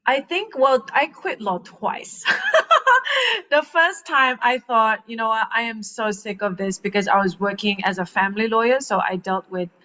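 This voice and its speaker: A woman in her thirties, from Malaysia, talking through her story as a podcast guest. She is animated and laughs often between phrases. The recording sounds a little muffled. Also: a little high, normal speed. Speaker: guest, transformational coach. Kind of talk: casual podcast conversation.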